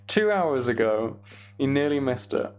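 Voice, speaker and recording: A man's voice: mid-range voice, very animated, unhurried. A man hosting a live gaming stream, chatting casually with viewers and muttering each step of his task to himself. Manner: muttering step-by-step self-narration of tasks. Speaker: livestream host. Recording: clear background noise, keyboard clicks. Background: computer keyboard typing and clicking.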